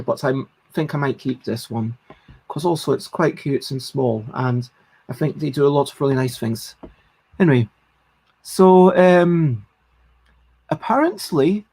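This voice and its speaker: A woman's voice: low voice, a little mellow. A woman hosting a casual solo livestream, chatting about board games in a rambling way with lots of ums and self-corrections. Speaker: livestream host.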